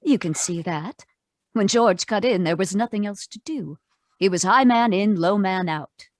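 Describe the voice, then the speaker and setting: An actress playing a defiant, double-crossing femme fatale in a 1940s-style radio noir drama. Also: female voice, medium pitch, unhurried, dry recording, clean studio sound, lightly acted. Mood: defiant, tense. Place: home room, live video call performance.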